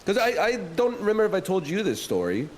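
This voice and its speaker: A man in his thirties with a deep voice, telling stories in a calm, flat, deadpan way during a casual chat. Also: fast talker, a little hiss or hum, low-quality recording. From Canada.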